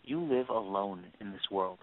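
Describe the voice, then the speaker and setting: A man acting in an audio play as a bitter grieving father turned cold avenger, accusing and sarcastic in a deliberate, commanding way. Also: fairly low pitch, expressive, natural voice. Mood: menacing, taunting. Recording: tinny phone-like band.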